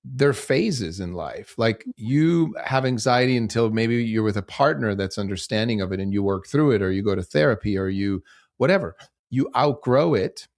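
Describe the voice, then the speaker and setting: A man, speaking in a fairly low voice. He talks reflectively in a casual podcast chat and often poses rhetorical questions to himself. The sound is close and dry. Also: normal speed, darkish tone, no room sound. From Switzerland. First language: German. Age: 50s. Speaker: podcast guest, movement founder. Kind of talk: casual interview conversation.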